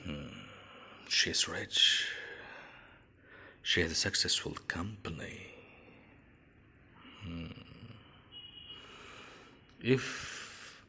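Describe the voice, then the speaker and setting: A man playing a scheming, covetous villain scientist in an audio drama, muttering slowly with pondering hums. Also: low voice, bright, forceful tone, husky, full character voice. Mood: brooding, covetous.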